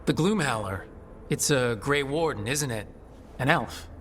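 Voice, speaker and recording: An actor playing a bold, protective young hero in a video game, blunt and direct. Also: male voice, medium pitch, animated, a little slow, a bit bright, a little hiss or hum. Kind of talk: video game dialogue acting. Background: music.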